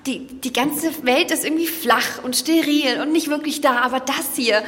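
An actress playing an excitable young woman who hosts a podcast in an audio drama, sometimes dropping to a whisper. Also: high-pitched, normal speed, a little hiss or hum. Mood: wistful. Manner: excitable, whispering when tense. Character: curious young woman podcaster hunting a lost tape. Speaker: podcast host in a fiction podcast.

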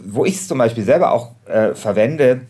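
A man with a husky, slightly rough voice at a middle pitch. He talks casually and off the cuff, like a co-host working through ideas with a colleague. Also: lively intonation, moderate pace, mellow. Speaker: podcast co-host and radio play writer. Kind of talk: casual unscripted discussion.

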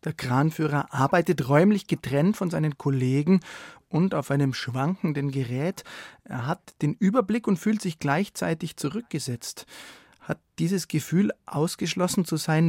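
A man hosts a radio interview with scripted introductions and questions. His voice sits a little higher than average, in a very dry studio recording. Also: moderate pace, darkish tone, no room sound. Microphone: studio microphone.

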